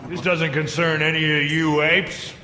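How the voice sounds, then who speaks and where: A man's voice: a little high, little pitch movement, a little slow, a crowd in the background, natural voice. A man voicing a brash, menacing alien warrior who taunts theatrically in a dramatic story reading. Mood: scornful, menacing. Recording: echoey hall reverb, faint music.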